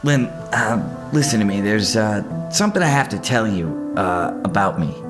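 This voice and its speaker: A man's voice: fairly low pitch, animated, close to an everyday voice. A man playing an earnest young superhero who stammers and hesitates, in an audio drama. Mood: hesitant, earnest. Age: young adult. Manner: halting, stammering. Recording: music under the voice.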